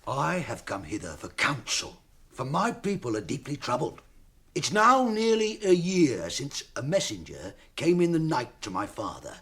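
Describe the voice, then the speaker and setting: An actor playing a troubled, earnest dwarf envoy with a husky voice in a radio drama. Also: male voice, medium pitch, huge pitch swings, moderate pace, fairly bright tone, heavily acted.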